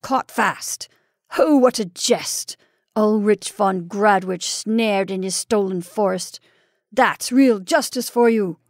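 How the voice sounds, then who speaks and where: A woman's voice: medium pitch, measured pace, a little mellow, no echo, clean studio sound, lightly acted. A woman reading aloud, voicing a bitter, taunting man pinned under a fallen tree, with a snarling manner. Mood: mocking. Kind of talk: storybook reading.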